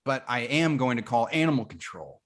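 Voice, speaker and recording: A man playing an eager, apologetic office worker, stammering and excitable, in a script read. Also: mid-range voice, fairly quick, lightly acted. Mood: indignant. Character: jittery, eager young male office worker, energized and apologetic. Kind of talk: screenplay table read.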